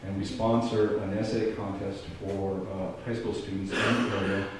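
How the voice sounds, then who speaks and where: Halting man speaking in an echoey theater. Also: low-pitched, level tone. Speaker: event host. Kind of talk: ceremony welcome speech. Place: theater stage. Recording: distant, very echoey theater, faint noise.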